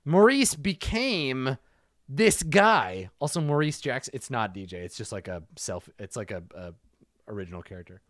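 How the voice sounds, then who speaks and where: A man's voice: mid-range voice, big pitch swings, normal speed, a bit bright, no room sound, studio quality. A man reading a story aloud for laughs, stopping often to laugh and make profane, mocking asides. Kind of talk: comedic reading with commentary.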